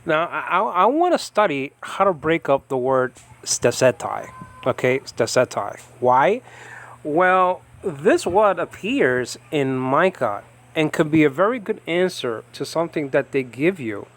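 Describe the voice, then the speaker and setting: Male ministry host, somewhat higher voice, casual meandering Bible study delivery. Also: big pitch swings, a bit bright. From United States. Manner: rambling, halting, lifts pitch and volume when reading scripture. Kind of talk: informal Bible study talk. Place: home in the Philippines.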